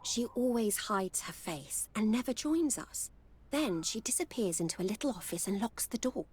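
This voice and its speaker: A wary courtesan in a video game. She speaks at a middle pitch, worldly and guarded, like someone careful about every client. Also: lively intonation, normal speed, darkish tone, breathy edge.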